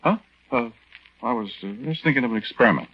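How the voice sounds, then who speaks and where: A man's voice: mid-range voice, lively intonation, fast talker, slightly rough. An actor playing a henpecked young American army private, telling his story in a hesitant, folksy, rambling way in 1940s radio style. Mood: sheepish, evasive. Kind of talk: 1940s radio acting, conversational first-person narration. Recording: dull lo-fi 1940s radio recording.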